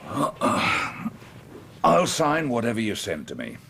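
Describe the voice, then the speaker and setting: An actor in a radio drama playing a dying old landowner confessing a killing, bitter and unrepentant, with a gravelly, husky voice. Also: male voice, mid-range voice, wildly swooping pitch, measured pace, noisy background, heavily acted. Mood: grateful, solemn. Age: older.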